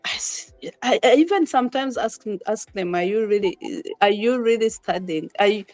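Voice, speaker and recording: A woman's voice: mid-range voice, lively intonation, moderate pace, a little mellow, dry recording. A woman who grew up in Uganda, a podcast guest talking casually about moving abroad with her children, laughing often and restarting her sentences. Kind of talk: casual interview conversation.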